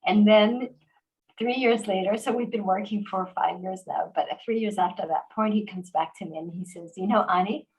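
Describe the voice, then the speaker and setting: A woman host in a relaxed conversation, telling stories animatedly with quick fillers and restarts. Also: mid-range voice, poor audio. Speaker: organization president and host. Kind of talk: conversational interview.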